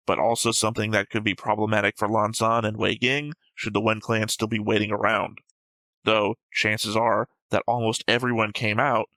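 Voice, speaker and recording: Creaky low-voiced man, close and dry. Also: sharp tone, no echo, rough recording. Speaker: co-host of an anime reaction show. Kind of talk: casual discussion of episode notes.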